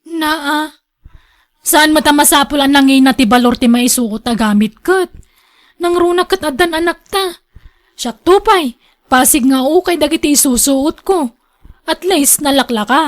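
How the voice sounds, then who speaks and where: A woman's voice: high voice, unhurried, long pauses. An actress with an Ilocano accent playing a scolding, thrifty wife and mother in a radio drama. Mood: exasperated. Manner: scolding, reproachful. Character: nagging, thrifty wife and mother, exasperated with vain husband.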